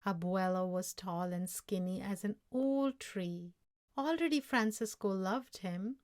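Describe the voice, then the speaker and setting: A woman narrates a children's story from the page. Her pace is slow and measured, and her reading is expressive, with a mid-range pitch. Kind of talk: scripted children's story narration, read aloud.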